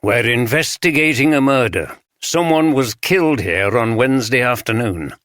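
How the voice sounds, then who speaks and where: A man voicing a calm senior detective who speaks gently and patiently. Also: medium pitch, dry recording, clean studio sound, lightly acted. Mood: gentle. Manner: gentle, reassuring, measured.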